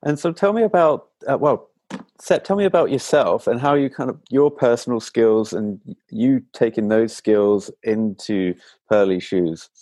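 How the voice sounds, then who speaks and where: A man interviews a guest with loose, rambling questions. His pitch sits in the middle range. The sound is dry, with no room echo. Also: measured pace, dark tone.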